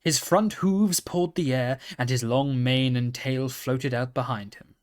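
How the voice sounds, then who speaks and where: Slow-paced man reading a storybook. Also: mid-range voice. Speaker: storybook reader. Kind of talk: scripted children's story narration.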